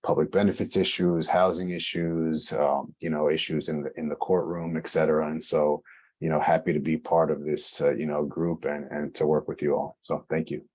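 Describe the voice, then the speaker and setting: A man's voice: a bass voice, little pitch movement, normal speed, darkish tone. A man introducing himself at a public meeting in a hesitant, understated manner.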